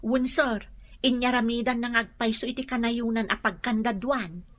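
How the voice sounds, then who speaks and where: A young woman with an Ilocano accent playing a house helper in a radio drama, answering briskly and respectfully. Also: a little high, fairly even intonation, natural voice. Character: eager young maid in a big house.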